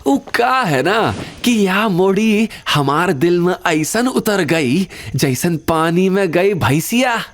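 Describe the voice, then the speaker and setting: A man's voice: sits high, animated, slow, hardly any pauses, pitched above the performer's own voice. A man voicing a crude, lecherous gangster henchman in an audio drama, taunting in rustic speech with a Bihari accent. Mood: leering.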